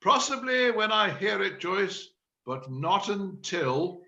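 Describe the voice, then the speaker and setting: A man's voice: sits high, sing-song, slow, bright, forceful tone, highs cut off, exaggerated voice. A man playing an exasperated, theatrical amateur stage director in a read-through of a comedy play. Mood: forced calm.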